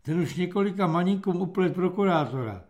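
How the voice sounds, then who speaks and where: A man reading aloud voices a gruff, sardonic army corporal warning young recruits. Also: high-pitched, animated. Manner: dry, sardonic, weary.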